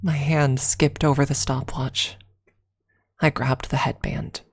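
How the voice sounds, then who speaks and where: A woman reading a first-person story aloud as ASMR, softly and deliberately, with dramatic pauses and some near-whispered phrasing. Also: a bass voice, little pitch movement, slightly rough.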